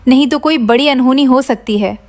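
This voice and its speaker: A woman's voice: high voice, normal speed, darkish tone. A woman playing an anxious, shrewd village wife in a horror audio story, speaking with insistence. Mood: frightened.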